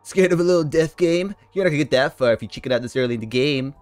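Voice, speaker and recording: A woman's voice: sits low, fast talker, darkish tone, faint music behind, pitched above the performer's own voice, lightly acted. A woman voicing a laid-back surfer bro skateboarder with an unbothered, slangy drawl. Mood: cool mocking.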